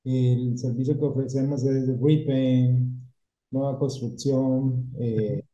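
A middle-aged man from Colombia, a painting company owner, telling his story in a relaxed casual chat and dropping English words into his Spanish. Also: medium pitch, slow pace. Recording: echoey room, dull lo-fi sound.

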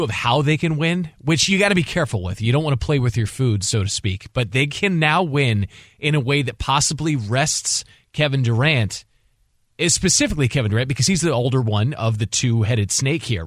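A man's voice: medium pitch, fairly bright tone, no echo. American man, dry-studio sports radio co-host. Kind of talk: conversational sports analysis.

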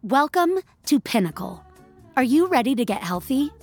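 A woman's voice: high voice, sing-song, normal speed, voice pushed up, heavily acted. A woman plays an encouraging fitness app assistant in an audio fiction story. The voice is cheery, instructive and slightly raised, with light music behind it. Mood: cheery, helpful.

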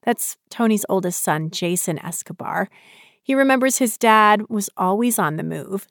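A woman's voice: mid-range voice, expressive, moderate pace, gravelly, a bit bright, dry recording, clean studio sound. A woman hosting a radio news show, reading scripted narration. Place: dry broadcast studio.

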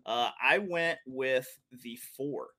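A man's voice: mid-range voice, slow pace, pushed and bright. Joking male racing podcast host. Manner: joking, rambling with many fillers. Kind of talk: casual chat about horse race betting.

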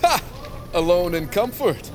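A man playing a gruff, sardonic mine foreman in a fantasy audio drama, brusque and commanding. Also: sits high, lively intonation, a little slow, music in the background. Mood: mocking, envious.

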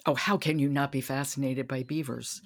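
A woman's voice: rumbling low, fairly even intonation, a little fast. A woman hosting a podcast, chatting casually about books. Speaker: podcast host. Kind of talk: casual conversational book discussion.

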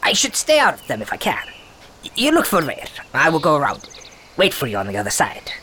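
Woman as a low, gruff male creature hunter. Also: huge pitch swings, a little fast, sharp tone, slight background noise, full character voice. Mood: wary. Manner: blunt clipped sentences. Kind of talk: fantasy audio drama acting.

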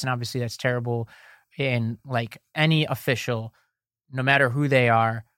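A man in a casual news podcast talks through legal cases at an everyday pitch, padding his points with fillers and quick restarts. His voice is recorded close up in a dry, dead room. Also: fairly bright tone, no echo. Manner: explanatory, filler-heavy, restarts. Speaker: podcast co-host.

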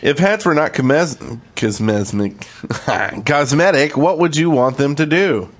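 A man's voice: a little high, lively intonation, slow. A man co-hosting a gaming podcast in casual discussion, with a wry, teasing way of talking. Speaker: podcast co-host.

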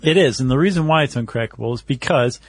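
A man's voice: mid-range voice, fast, husky. A man co-hosting a casual podcast, explaining things step by step in informal, slangy language. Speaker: podcast co-host.

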